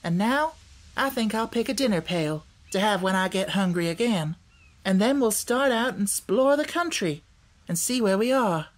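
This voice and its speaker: A man's voice: high-pitched, lively intonation, normal speed, voice pushed up. A man voicing a cheerful, confident little girl with an earnest, matter-of-fact manner in a storybook. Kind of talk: audiobook character voice.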